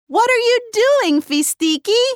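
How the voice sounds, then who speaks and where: Man voicing a little storybook girl, sad, very high, slow, wistful, wondering. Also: expressive, dry recording, clean studio sound. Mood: eager.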